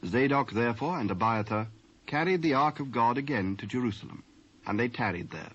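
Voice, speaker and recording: A man's voice: medium pitch, expressive, fairly bright tone. Solemn British male narrator, dull recording. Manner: solemn, measured. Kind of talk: scripted scripture narration. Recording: dull lo-fi cassette sound.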